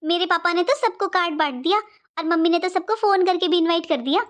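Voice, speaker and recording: A woman's voice: very high voice, fairly quick. A woman voicing a devoted, respectful young sister-in-law in a cartoon family drama, speaking politely and emphatically with repeated phrases. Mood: eager, reassuring.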